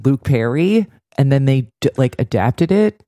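Dry woman with a mellow, close voice. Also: extremely low pitch, animated, unhurried, dry recording. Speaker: podcast co-host. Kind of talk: casual TV recap chat.

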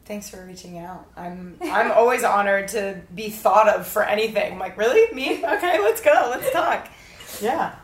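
A woman's voice: medium pitch, expressive, normal speed. A woman in her early thirties chatting as an interview guest, upbeat, candid and informal. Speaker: podcast guest, dance teacher. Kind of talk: casual interview chat. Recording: roomy, echoey sound.

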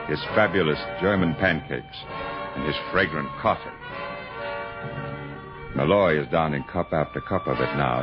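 An actor playing a wry storyteller, narrating a radio thriller in an unhurried manner. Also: male voice, low-pitched, lightly acted. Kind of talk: 1960s radio drama scripted narration. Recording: muffled old radio broadcast, musical backing. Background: music.

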